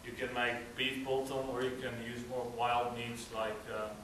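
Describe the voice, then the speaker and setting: A man's voice: mid-range voice, normal speed, slight background noise. A man from South Africa with a South African accent, a native Afrikaans speaker, giving a hesitant slideshow presentation at a cultural event. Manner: relaxed, hesitant with frequent uh fillers. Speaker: student presenter. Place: event hall. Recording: roomy hall, mic at a distance.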